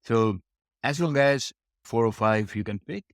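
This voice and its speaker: A man's voice: mid-range voice, unhurried, no echo, clean studio sound. A man teaching a test preparation lesson, explaining step by step and repeating phrases for emphasis. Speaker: English test preparation instructor.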